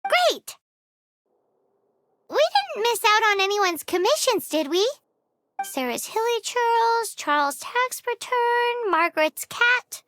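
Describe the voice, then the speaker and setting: Tiny cheeky game fairy girl: extremely high, lively swoops, outspoken and exclamatory. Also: slow, no room sound, heavily acted. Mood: chirpy, eager. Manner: exclamatory, refers to self in third person. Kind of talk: video game voice acting.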